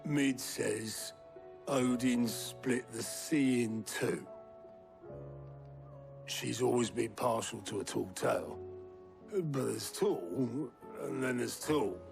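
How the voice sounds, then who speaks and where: Gravelly, wry, weathered ally. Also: male voice, mid-range voice, slow, long pauses, over music. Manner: wry, understated, colloquial. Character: weathered, wry male ally, plain-spoken and weary. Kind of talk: dramatic video game cutscene acting.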